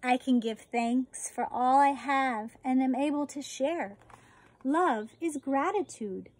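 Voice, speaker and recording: An American woman in her forties, a teacher reading a children's picture book aloud outdoors with deliberate, expressive phrasing. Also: sits high, slight background noise. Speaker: elementary school teacher reading a story. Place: outdoors on a residential deck.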